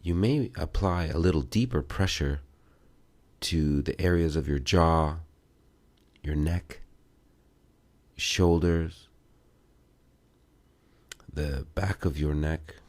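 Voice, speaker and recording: A man giving guided meditation and self-massage instructions in a measured way, pausing between short phrases. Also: a bass voice, a little mellow, no room sound.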